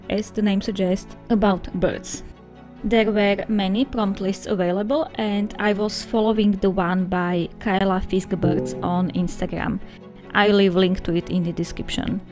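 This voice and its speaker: A woman's voice: medium pitch, a little mellow. A woman with a Slovak accent, a native Slovak speaker, chatting in a friendly, casual way as she flips through her sketchbook for viewers. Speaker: art vlogger.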